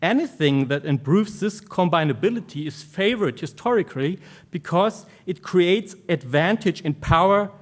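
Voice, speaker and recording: Higher-pitched German-accented man presenting. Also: expressive, moderate pace. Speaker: academic researcher, conference speaker. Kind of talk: academic conference presentation.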